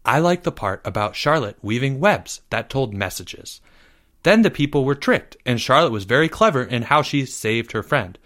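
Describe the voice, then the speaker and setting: Man voicing an earnest schoolboy, bright tone. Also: mid-range voice, animated, moderate pace, natural voice. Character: earnest schoolboy sharing his book opinion.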